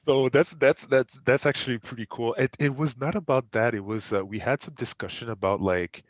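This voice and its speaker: A man's voice: mid-range voice, brisk, no echo, highs cut off. A man with a French Canadian accent, French his first language, telling a business story in a casual interview, full of fillers, restarts and acted-out quotes. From French-speaking Canada. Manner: animated, many fillers and restarts, acts out quotes. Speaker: guest entrepreneur.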